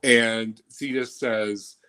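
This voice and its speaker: A man with a mid-pitched voice talks playfully and campily. He quotes film dialogue with relish and clear enjoyment. The chat is loose and conversational. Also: steady tone, drawn-out words, sharp tone. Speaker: podcast co-host. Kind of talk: casual chat.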